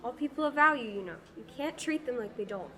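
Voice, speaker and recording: A woman's voice: on the high side, animated, quick pace, sharp tone. A teenage student actor playing an outspoken young adventurer woman in a radio play, blunt and indignant. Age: teens. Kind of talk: amateur radio play acting.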